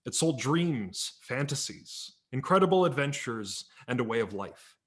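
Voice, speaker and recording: A man narrating a humorous memoir story as a dramatic reading, wry and theatrical, letting his voice drop low at the ends of phrases. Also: very animated, a little slow, fairly bright tone. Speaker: audiobook narrator. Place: home, virtual reading.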